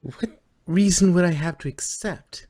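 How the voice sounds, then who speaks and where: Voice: mid-range voice, measured pace, mellow, close to an everyday voice. A performer reading the part of a weary, skeptical young pony princess in a dry, exasperated manner. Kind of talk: character voice in a fanfiction reading.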